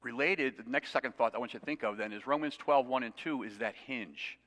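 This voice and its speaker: Male pastor, mid pitch, bright tone, teaching sermon, reverberant sanctuary sound. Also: a little fast. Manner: conversational, self-deprecating asides. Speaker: preacher. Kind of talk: expository sermon. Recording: long reverb of a hall.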